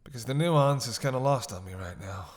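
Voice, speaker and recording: A man playing a starving captive vampire in a roleplay audio drama, sardonic and menacing, speaking haltingly with teasing bite. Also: mid-range voice, animated, close to an everyday voice. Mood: menacing, groggy. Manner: sardonic, halting, breathy sighs between phrases.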